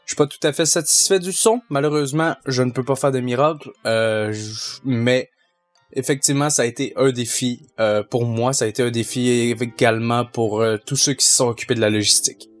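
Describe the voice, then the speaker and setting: A young man with a Québécois accent explains his sound work in a steady, hesitant way, pausing on fillers. His voice sits at an ordinary pitch for a man. Faint music plays behind him. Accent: Québécois French. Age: young adult. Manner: measured, hesitant with many fillers. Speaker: sound mixer. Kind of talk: casual Q&A answers.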